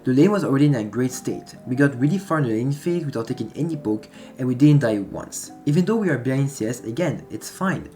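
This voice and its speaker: A young man with a French accent giving a calm, explanatory voice-over that breaks down a match he played. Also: mid-range voice, fast, few pauses. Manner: explanatory, slightly non-native phrasing. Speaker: gaming content creator. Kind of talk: gameplay commentary voice-over. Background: background music.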